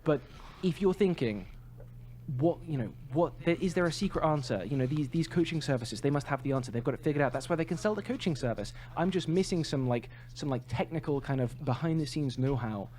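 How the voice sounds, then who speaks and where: A man's voice: fairly high pitch, expressive, fairly quick, darkish tone, a little hiss or hum. A man co-hosting a podcast in casual, opinionated chat, emphatic and prone to swearing. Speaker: podcast co-host.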